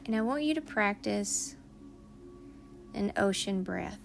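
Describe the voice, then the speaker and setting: A woman leading a guided meditation in a soothing, unhurried manner, leaving long pauses between phrases. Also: medium pitch, lively intonation, creaky, soft background music.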